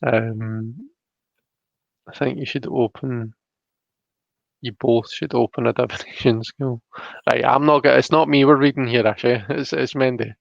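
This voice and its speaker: A man's voice: mid-range voice, fairly even intonation, a little slow, creaky, pauses often, no echo. Scottish man, casual tarot host, hesitant, chuckly, dry close sound, slightly dull lo-fi audio. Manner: chatty, hesitant, often chuckling. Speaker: host of a live tarot reading. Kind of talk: casual live-stream chat, reading out viewer comments.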